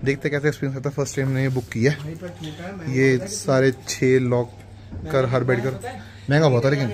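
A man giving a casual, relaxed walkthrough for a vlog while showing around a hostel indoors. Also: medium pitch, fairly quick, crowd noise. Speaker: travel vlogger.

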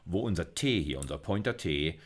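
A middle-aged man with a low-pitched voice explains material in an informal university lecture. He talks conversationally, thinking out loud and asking himself questions before answering them. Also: animated, normal speed. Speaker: university computer science lecturer.

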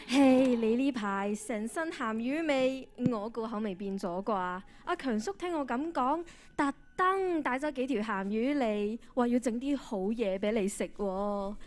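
A woman's voice: on the high side, lively intonation, unhurried. A teenage girl playing a chatty, fussing mother in a radio drama on stage. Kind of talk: Cantonese radio drama acting. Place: on stage in a school drama competition hall.

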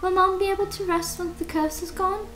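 Very high, earnest little girl. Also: level tone, a little fast, a bit bright, echoey room, soft background music. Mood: worried. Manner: childlike, earnest, emotional. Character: young girl, earnest, pleading, devoted daughter. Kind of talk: dubbing dialogue of a horror game.

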